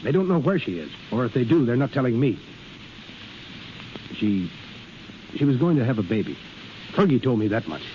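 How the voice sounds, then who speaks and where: An actor playing a desperate, headstrong young soldier in love, urgent and defiant, in 1930s radio drama style. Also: male voice, medium pitch, very animated, moderate pace, pauses often, natural voice. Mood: dejected. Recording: muffled, hissy 1930s radio recording.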